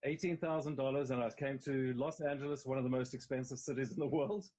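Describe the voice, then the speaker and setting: A man's voice: medium pitch, fairly even intonation, fast, dark tone. A middle-aged man with a South African accent, a guest actor telling his story candidly in a casual interview, rambling a little and restarting phrases. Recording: slightly dull, lo-fi sound.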